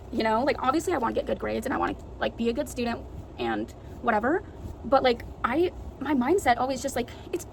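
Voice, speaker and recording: A teenage girl vlogging casually at home, chatty and full of fillers, with a raspy voice. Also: on the high side. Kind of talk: casual chat. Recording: noisy home recording.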